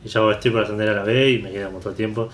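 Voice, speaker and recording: A man's voice: on the low side, steady tone, rapid-fire, slight background noise. Argentine man rambling in an echoey room. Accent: Argentine Spanish. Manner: rambling, stop-start, lots of fillers. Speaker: podcast co-host. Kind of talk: casual chat.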